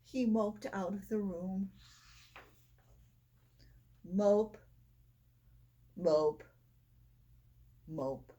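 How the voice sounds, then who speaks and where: A woman reading a children's picture book aloud in an expressive storytelling style. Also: mid-range voice, very slow, long pauses. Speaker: storybook reader.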